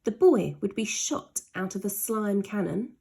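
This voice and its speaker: Animated, slow-paced woman reading a children's story. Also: medium pitch, gravelly, slightly rough. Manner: dramatic, drawn-out emphasis on key words. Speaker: teacher reading aloud.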